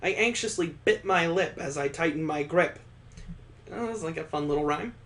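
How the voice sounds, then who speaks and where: A man's voice: on the high side, normal speed, a bit bright. A man reading a visual novel aloud as a let's play host, dropping in wry, casual comments of his own. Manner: wry, joking asides between read passages.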